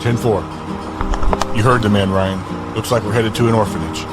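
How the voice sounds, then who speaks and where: A man playing a gruff, self-assured field operative in an audio drama, laconic and blunt in his delivery. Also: on the low side, steady tone, normal speed, lightly acted. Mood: confident. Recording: loud music under the voice.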